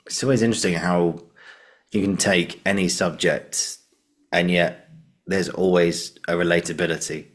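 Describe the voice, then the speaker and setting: A man hosting a conversational interview, effusive and given to repeating himself. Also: low-pitched. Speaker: podcast host.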